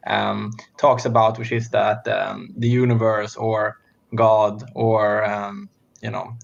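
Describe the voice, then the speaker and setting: Young Swedish-accented man, gravelly, flat, laid-back podcast co-host, lo-fi sound. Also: on the low side, takes it slowly. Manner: laughs often, hesitant with fillers. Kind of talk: casual chat.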